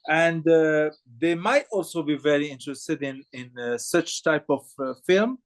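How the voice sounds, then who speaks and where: A man from Morocco interviewing filmmakers, speaking haltingly with many fillers and restarts. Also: fairly high pitch.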